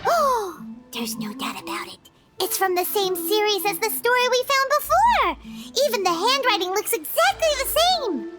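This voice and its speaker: A tiny fairy guide from a video game in a piercingly high, childlike voice. She chatters excitably with big swooping intonation. Prominent game music plays behind her. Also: moderate pace. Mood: excited. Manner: bubbly, exclamatory, refers to herself in third person.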